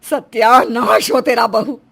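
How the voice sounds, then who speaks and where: A woman's voice: fairly high pitch, steady tone, slow pace, vocal fry, a bit bright, husky, exaggerated voice. A woman voicing a proud middle-aged mother-in-law in a cartoon story, dramatic, long-suffering and given to scolding. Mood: scolding, mortified. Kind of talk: Hindi moral story cartoon dialogue.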